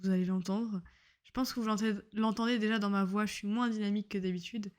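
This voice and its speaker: A woman's voice: medium pitch, steady tone, fast talker. A young woman, a medical student, talks loosely into a home microphone about her day, rambling, joking about herself and using lots of slang. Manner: chatty, rambling, self-mocking, full of slang. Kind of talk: casual diary-style chat. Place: at home on a couch.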